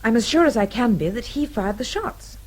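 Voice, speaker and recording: Actress as lively high-voiced young Englishwoman. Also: a little fast, a little hiss or hum, lightly acted. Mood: earnest. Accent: British. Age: young adult. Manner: well-mannered, earnest. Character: polite, plucky young Englishwoman abroad. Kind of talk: radio drama acting.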